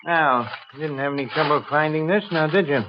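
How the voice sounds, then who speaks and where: An actor playing a cocky, bullying ex-convict blackmailer in an old-time radio crime drama, domineering and needling. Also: male voice, on the high side, brisk, background noise, lightly acted. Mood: needling, menacing. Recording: dull vintage radio recording.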